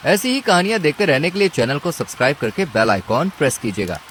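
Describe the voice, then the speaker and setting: A man's voice: a little high, sing-song, fast, very noisy. A man narrating a scripted cartoon story as its storyteller.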